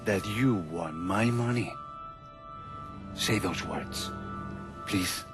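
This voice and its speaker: An actor playing a cold, controlling Italian drug supplier in a video game, with an Italian accent and formal, deliberate speech. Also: male voice, lowish voice, over music. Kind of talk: video game cutscene acting.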